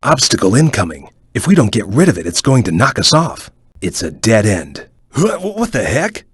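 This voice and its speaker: A man playing a calm, analytical ninja chameleon in a video game, giving wary hints in a deliberate, serious, husky voice. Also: fairly low pitch, no room sound, clean studio sound, exaggerated voice. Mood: alarmed. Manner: deliberate, serious, formal, cautioning. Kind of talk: video game character hint lines.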